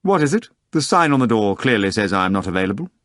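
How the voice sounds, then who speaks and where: Casting: cold, brusque office boss who is only an empty suit, put on by a male reader, quick and clipped, dry close sound. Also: lowish voice, big pitch swings, fairly bright tone, no room sound. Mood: curt. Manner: curt, disdainful, brusque. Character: irritable, disdainful headless empty suit boss.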